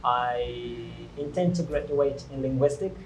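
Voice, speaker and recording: A man's voice: mid-range voice, measured pace, darkish tone. A young man in his twenties, a native Portuguese speaker, answering interview questions in an earnest, hesitant way with frequent restarts. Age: 20s. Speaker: guest. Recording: echoey room, faint noise.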